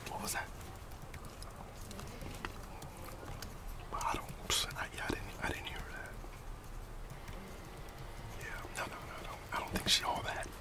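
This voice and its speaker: A middle-aged American man playing a disgruntled, boastful employee gossiping conspiratorially in a whispered ASMR roleplay. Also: natural voice. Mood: disdainful, conspiratorial. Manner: conspiratorial, colloquial, hesitant pauses.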